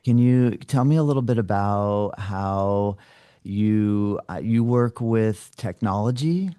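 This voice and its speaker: A man's voice: fairly low pitch, takes it slowly, warm tone, clear, resonant tone, no room sound, studio quality. A man hosting a casual interview, effusive and appreciative, with rambling conversational questions. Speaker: podcast host interviewing a guest.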